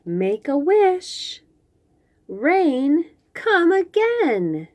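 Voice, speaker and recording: Female children's storyteller, very unhurried, animated and expressive, picture-book read-aloud with conversational asides. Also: high-pitched. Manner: expressive, drawn-out reading with chatty asides.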